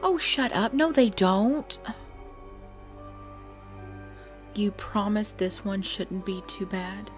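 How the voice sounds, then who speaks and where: A woman's voice: mid-range voice, animated, unhurried, lightly acted. Woman acting a pleading, jumpy young girlfriend over music. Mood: reluctant, playful. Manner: pleading, whiny, dropping into hushed self-talk. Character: cute, jumpy girlfriend frightened by scary movies. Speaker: roleplay audio performer. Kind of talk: intimate ASMR girlfriend roleplay.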